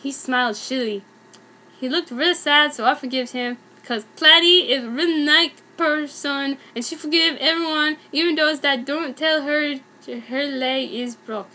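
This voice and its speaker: Young woman w a really high voice doing a mock earnest dramatic fanfic reading, comedy style. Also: a little slow, bright, forceful tone. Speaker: fan fiction reader. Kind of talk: dramatic reading of a fan story, played for comedy.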